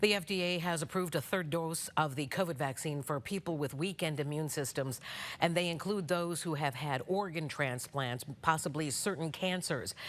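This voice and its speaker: A woman with an American accent reading scripted TV news as an anchor. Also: low-pitched.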